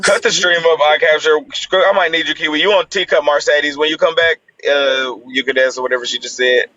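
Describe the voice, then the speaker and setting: A man hosting a live stream, keeping order in a firm, steady way and repeating his phrases for emphasis. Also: fairly high pitch, brisk. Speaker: host. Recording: dull lo-fi stream audio with faint noise.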